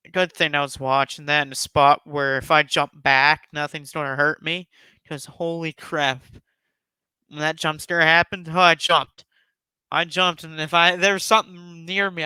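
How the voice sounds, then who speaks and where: A man's voice: fairly high pitch, pushed and bright, clean tone, no echo. A man hosting a casual, enthusiastic countdown commentary, speaking in an emphatic, effusive and rambling way. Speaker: YouTube host giving a top ten countdown.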